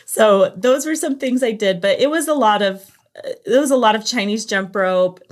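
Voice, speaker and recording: A woman speaks as a podcast guest. Her pitch is mid-range and she tells personal stories in a casual, animated way, slipping into little reenacted remarks as she goes. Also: normal speed. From Los Angeles area, United States. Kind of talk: casual conversational storytelling.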